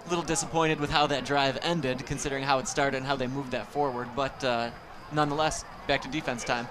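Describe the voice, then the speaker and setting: A man calling steady, descriptive play-by-play for a high school football game from a press box, with outdoor noise behind him. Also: fast talker. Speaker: play-by-play commentator. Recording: noisy outdoor stadium feed.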